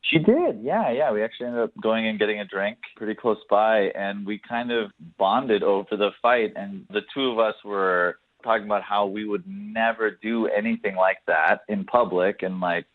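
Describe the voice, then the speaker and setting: A man's voice: fairly low pitch, normal speed, hardly any pauses, dry recording. A man calling in to a radio show, telling his story casually and a little hesitantly, good-natured. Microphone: telephone. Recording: thin, narrow phone line.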